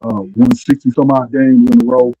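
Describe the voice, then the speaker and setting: A man's voice: mid-range voice, expressive, normal speed, creaky, dark tone, muffled recording, a little hiss or hum. A man, co-hosting a casual sports chat and telling laid-back stories. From Arkansas. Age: 40s. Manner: laid-back storytelling, trails off with "you know what I'm saying". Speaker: co-host.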